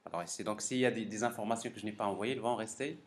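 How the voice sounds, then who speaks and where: A man giving a live technical software lecture as a professor, halting and repeating words as he thinks aloud. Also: fairly low pitch. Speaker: university professor teaching a class. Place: classroom during a live course session. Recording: clearly noisy classroom recording.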